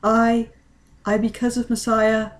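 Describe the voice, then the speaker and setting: A woman's voice: on the high side, little pitch movement, takes it slowly. A woman voicing a sad, guilt-ridden, lovesick teenage girl in a storybook read-along, tearful and hesitant.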